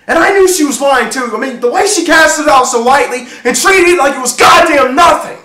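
A man's voice: fairly high pitch, a little slow, far from the mic, voice pushed up. A man acting a jealous, obsessive, volatile husband in a profane, emphatic, brooding stage monologue. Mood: furious, anguished. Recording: echoey room with faint noise.

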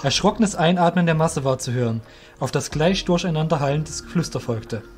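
A man's voice: fairly high pitch, lively intonation, fairly quick, soft background music. A man narrating a scripted fantasy audio drama as its storyteller.

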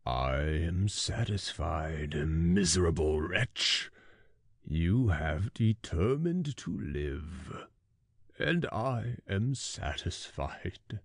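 A man voicing a gloating, vengeful monster with slow, deliberate menace in a gothic audiobook. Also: sits low, lively intonation, no room sound, studio quality, pitched below the performer's own voice, exaggerated voice. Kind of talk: audiobook character voice.

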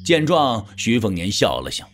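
A man's voice: lowish voice, huge pitch swings, normal speed, fairly bright tone, faint music behind. A man narrating a scripted audio drama in a dramatic storytelling style.

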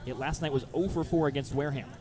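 A man's voice: mid-range voice, fast talker, crowd noise. A man calling live baseball play-by-play for radio, mixing clipped phrases with detailed description.

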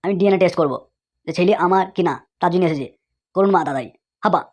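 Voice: mid-range voice, very fast, a little mellow, close to an everyday voice. An actor voicing an agitated, impatient young village husband in a comedy cartoon, with an exclamatory, whining delivery. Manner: exclamatory, repeats a catchphrase cry.

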